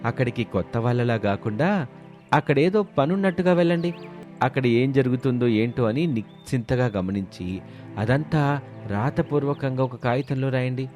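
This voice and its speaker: A man playing a sly, smooth-talking village drinker in an animated moral story. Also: medium pitch, moderate pace, faint music behind, natural voice. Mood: smooth, reassuring. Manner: wheedling, scheming, reassuring.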